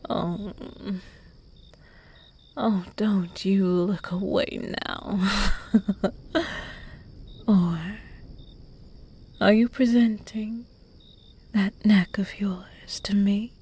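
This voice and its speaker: A flirty, predatory vampire, played by a woman whispers close in a breathy, dark hush. Each word comes out unhurried and teasing, with faint music underneath. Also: medium pitch, fairly even intonation, full character voice. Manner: slow, teasing, hushed and intimate. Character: seductive predatory vampire, flirty noble demon. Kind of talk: whispered ASMR roleplay audio.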